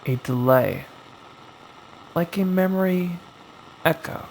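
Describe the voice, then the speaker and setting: A man narrating a scripted horror story as its storyteller, in a dramatic, suspenseful way with long pauses. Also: high voice, creaky, darkish tone, slight background noise, low-quality recording. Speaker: storyteller, host of a horror anthology.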